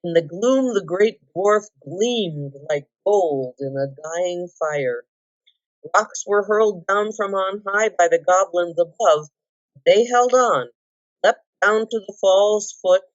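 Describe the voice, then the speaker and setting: A woman reading a story aloud with measured, deliberate, dramatic phrasing. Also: on the low side. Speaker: volunteer storybook reader. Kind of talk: scripted story narration. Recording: echoey room, slightly dull sound.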